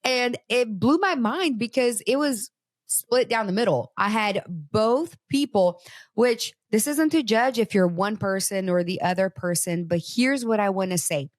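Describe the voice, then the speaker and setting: A woman's voice: mid-range voice, measured pace, dry recording. A woman from Brazil whose first language is Portuguese, hosting a casual, motivational talk and speaking straight to her listeners. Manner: animated, conversational, talks straight to listeners. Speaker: host.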